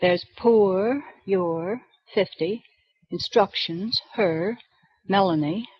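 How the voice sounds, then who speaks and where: An actress playing a prim, reserved librarian who speaks in a precise, proper way, in 1940s radio acting. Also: female voice, mid-range voice, extremely slow, husky, dry recording. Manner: precise, proper, rule-bound speech. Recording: dull dry 1940s radio broadcast recording.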